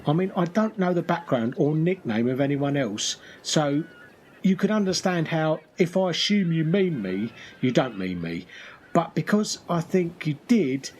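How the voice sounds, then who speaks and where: A man's voice: a little high, darkish tone, a little hiss or hum. A man playing a pedantic, polite newcomer in a comic radio play, literal-minded and always clarifying.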